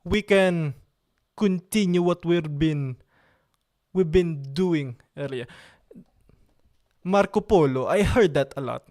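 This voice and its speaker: A man's voice: a little high, takes it slowly, long pauses, darkish tone. A man with an Italian accent, hosting a casual live stream from his computer, playful and joking and repeating himself. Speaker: livestream host.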